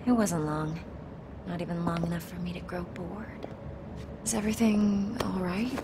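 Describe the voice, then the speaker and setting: A woman's voice: lowish voice, fairly even intonation, moderate pace, lightly acted. An actress playing a poised, sultry, persuasive female schemer in a video game. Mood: coy, probing. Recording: loud background noise.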